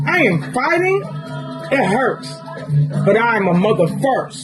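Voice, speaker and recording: Intense spoken-word poet over guitar. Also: female voice, rough recording. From New York. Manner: intense, rhythmic, emphatic delivery, swinging between low and high. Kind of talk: spoken word poetry performance. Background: guitar music.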